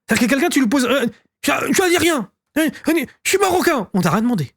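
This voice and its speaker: A high-voiced man from France fires off teasing, provocative banter at a fast clip. He swears freely and goads his co-host. His voice sits close and dry. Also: sing-song, a bit bright, dry recording. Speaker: podcast co-host. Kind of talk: loud joking banter.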